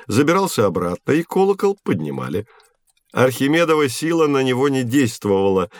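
A man playing a patient science teacher who guides children through a scripted educational audio drama with measured, didactic explanations. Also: medium pitch, dry recording, lightly acted. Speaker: presenter.

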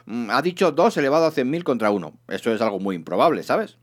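A man voicing an excitable, breezy male space hitchhiker in a comic science fiction audiobook, airy, offhand and teasing. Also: mid-range voice, quick pace, close to an everyday voice. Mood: unsure, offhand.

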